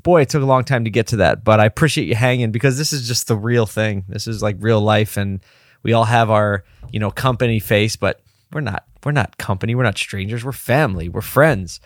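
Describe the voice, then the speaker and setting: A man hosting his own podcast from his office, his voice a little hoarse and low on energy, talking candidly and rambling as he pushes himself to liven up. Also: a little fast, dry recording, studio quality. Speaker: podcast host, agency owner. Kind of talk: casual solo podcast talk. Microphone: desk mic in his office.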